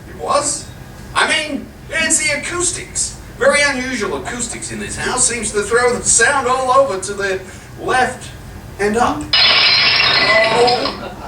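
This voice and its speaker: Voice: mid-range voice, expressive, a little slow, natural voice. An actor playing a flustered young husband, stammering evasive excuses and placating people in a live radio comedy. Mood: nervous, evasive. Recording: noisy, echoey live stage, distant mic.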